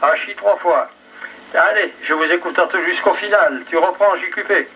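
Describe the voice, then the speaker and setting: A man's voice: high-pitched, moderate pace, pushed and bright, slightly rough. A chatty, joking man, talking casually over a two-way amateur radio. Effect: two-way radio transmission. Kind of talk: casual radio chat with sign-offs. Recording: dull, noisy ham radio relay, distant.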